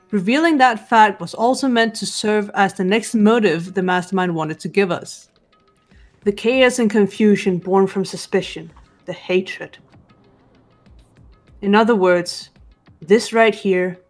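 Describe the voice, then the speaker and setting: A woman's voice: medium pitch, lightly acted. A woman as a composed teenage girl over music. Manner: measured, stern. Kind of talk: amateur video game voice-over. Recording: background music throughout.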